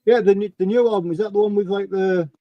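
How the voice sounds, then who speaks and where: A man in his forties chatting casually on a video call and talking about albums in a relaxed way. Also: sits high, fast, dry recording, highs cut off. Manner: laid-back, talks through records. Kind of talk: casual chat. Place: home room with a dark curtain behind. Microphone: webcam video call.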